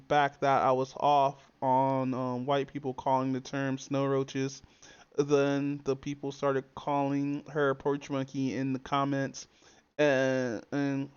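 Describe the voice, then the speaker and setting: A streamer with a feminine-sounding voice riffs casually on stream, rambling and swearing freely and restarting sentences as the thoughts come. The pitch sits in a middle range. The stream audio sounds dull and lo-fi. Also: smooth. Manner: profane, rambling, many fillers and restarts. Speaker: streamer reacting to a video. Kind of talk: casual reaction-stream chat.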